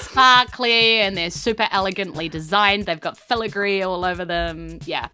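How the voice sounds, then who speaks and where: A woman's voice: medium pitch, moderate pace, sharp tone, smooth, faint music behind. A woman with a New Zealand accent, chatty and playful, improvising narration as game master of a tabletop game.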